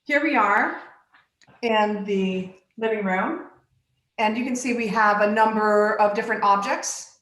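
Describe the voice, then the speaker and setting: A woman from the Bahamas and Virgin Islands, a glass artist giving a casual guided tour in an informal, halting way with pauses between phrases. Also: medium pitch, fairly bright tone. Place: living room of a home studio. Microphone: phone on a selfie stick. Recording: echoey room, live video stream.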